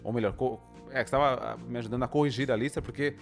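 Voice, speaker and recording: A young man with a Brazilian Portuguese accent, chatting casually as co-host and explaining his study method at length, with pauses and restarts. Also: medium pitch, steady tone, creaky, soft background music. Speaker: co-host, language learner. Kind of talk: casual chat.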